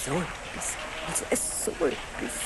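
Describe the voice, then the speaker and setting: A woman's voice: a little slow, natural voice. An actress playing a worried, scolding old grandmother with a Swiss German accent in an audio drama. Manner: fretful, admonishing. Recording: noisy station ambience, old cassette.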